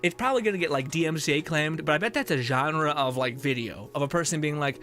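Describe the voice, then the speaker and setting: A young man talks through his game on a live stream, rambling loosely between thoughts. His voice sits a little high and rings bright and forward. Faint game music plays underneath. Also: fast. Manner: rambling, animated, laughs often, jumps into mock voices. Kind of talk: casual live-stream chat while playing.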